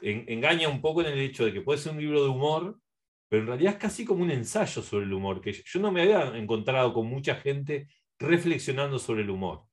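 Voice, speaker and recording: A man's voice: mid-range voice, lively intonation, brisk. Man, Uruguayan accent, mid-range voice, radio interviewer, casual and rambling.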